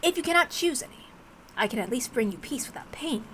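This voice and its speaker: A woman voicing a polite, suave male demon butler who speaks formally in a measured, condescending and cruelly amused way, as in a visual novel. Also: sits high, animated, a bit bright, a little hiss or hum. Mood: polite menace.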